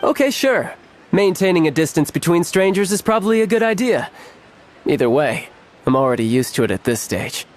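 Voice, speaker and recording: An actor playing a suave, playful young envoy in a game cutscene, smooth, teasing and glib. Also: male voice, a little high, very animated, moderate pace. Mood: coolly confident.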